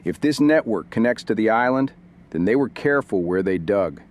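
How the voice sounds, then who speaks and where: A man's voice: lowish voice, expressive, normal speed, darkish tone, lightly acted. A man voicing a composed male community leader who gives clipped, commanding instructions in a noir audio drama. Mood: terse. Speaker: council leader.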